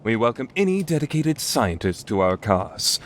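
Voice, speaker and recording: A man's voice: medium pitch, sing-song, normal speed, slight background noise, natural voice. A man playing a grandiose, self-styled mad scientist in an anime fan dub, with pompous, dramatic delivery. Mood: grandly announcing.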